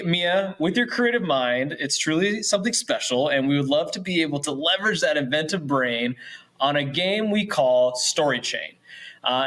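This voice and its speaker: A man's voice: a little high, fairly bright tone. A man hosting a casual, upbeat online event in a chatty, encouraging, informal way. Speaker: show host.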